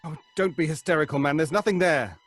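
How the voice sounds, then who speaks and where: An actor playing an earnest, hesitant gentleman who leads a séance and admonishes others in an old-fashioned radio horror drama. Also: on the high side, fast talker, dry recording, soft background music, lightly acted. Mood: urgent.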